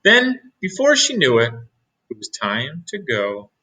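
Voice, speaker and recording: Teacher guy reading a picture book aloud, unhurried, crisp bright voice. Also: fairly high pitch, wildly swooping pitch, low-quality recording. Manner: reads slowly with asides to young listeners. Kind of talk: read-aloud storytelling.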